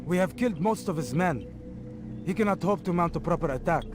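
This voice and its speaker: Male actor as dutiful, disapproving Assassin, grave, fairly high voice, game drama with music behind. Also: lively intonation, brisk, natural voice. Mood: concerned. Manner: grave, admonishing. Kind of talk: dramatic video game acting.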